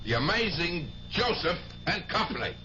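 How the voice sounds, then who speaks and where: An actor playing a breezy, pushy middle-aged club owner in a 1970s radio drama, talking in a slangy, cajoling way. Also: male voice, a little high, big pitch swings, normal speed, dull audio, crowd noise. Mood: showy announcing. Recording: 1970s radio drama with loud music underneath.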